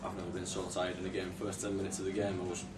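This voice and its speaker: A man's voice: low-pitched, completely flat delivery, racing. A young man in his twenties, a rugby league winger answering modestly and hesitantly in a post-match press interview. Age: 20s. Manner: modest, hesitant, many fillers. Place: small press room at a stadium. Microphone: far camera mic. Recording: echoey room from far off, noisy.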